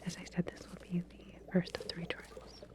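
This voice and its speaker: Whispering woman in an ASMR medical roleplay. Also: normal speed. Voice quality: breathy, unvoiced whisper. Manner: soft, reassuring, gently instructing. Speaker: ASMR roleplayer playing a lab researcher giving a medical exam.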